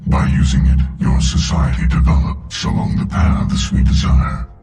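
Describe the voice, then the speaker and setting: Godlike game villain machine: extremely deep, grinding, contemptuous, echoing. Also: male voice, vocal fry. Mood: cold menace. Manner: grandiose, slow declarations, cold and contemptuous. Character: ancient godlike sentient machine, arrogant, menacing destroyer of organic life. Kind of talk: video game villain voice acting. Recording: echoing, loud music behind.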